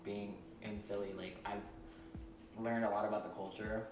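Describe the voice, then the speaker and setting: A young man from Philadelphia hosting a casual chat with a friend, chatty and quick to laugh, loose with slang. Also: on the low side. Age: young adult. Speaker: podcast host. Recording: echoey room, mic a bit far, steady background noise.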